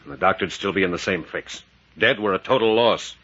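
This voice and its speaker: Forceful, raspy adventure captain, old radio. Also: male voice, deep voice, fairly quick. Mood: steady, resolute. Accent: American. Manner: commanding, dramatic, clipped. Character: resolute, quick-thinking captain leading an adventure team. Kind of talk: 1940s radio adventure acting. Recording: muffled vintage 1940s radio recording.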